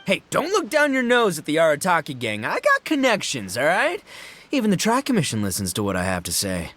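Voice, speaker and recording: A man's voice: on the high side, huge pitch swings, fairly quick, fairly bright tone, exaggerated voice. A man voicing a brash, boastful young gang boss and rocker in a video game, with cocky, boisterous, slangy delivery. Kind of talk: animated video game voice acting.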